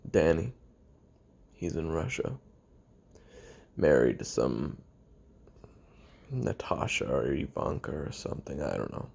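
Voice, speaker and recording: A man playing a weary, lonely young retired assassin who makes a halting, brooding confession on tape in an audio roleplay, with a gravelly, husky voice. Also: a bass voice, sing-song, darkish tone, full character voice. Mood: melancholy, resigned. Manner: halting, brooding, trailing off with sighs and pauses.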